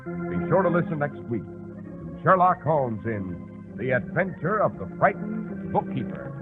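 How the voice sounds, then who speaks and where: Male announcer, American accent, 1940s scripted radio, animated intonation, organ backing, thin vintage recording. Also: a little high, unhurried, phone-quality sound. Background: organ music.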